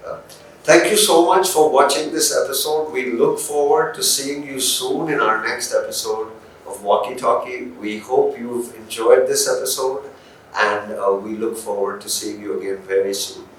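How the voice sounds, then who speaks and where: A man's voice: mid-range voice, normal speed. Very echoey male interviewer. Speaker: podcast host, interviewer. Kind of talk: conversational formal interview. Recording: very echoey room, distant mic, faint noise.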